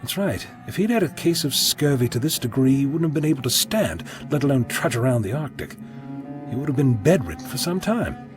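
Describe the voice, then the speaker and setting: Witty eccentric time traveller played by a man, lively pitch. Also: fairly quick, music in the background, natural voice. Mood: brisk, clinical. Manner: wry, flippant, articulate. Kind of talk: audio drama acting.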